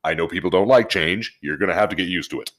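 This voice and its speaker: Male podcast co-host, low-pitched voice, casual and conversational. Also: fast talker, fairly bright tone. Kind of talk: casual group chat.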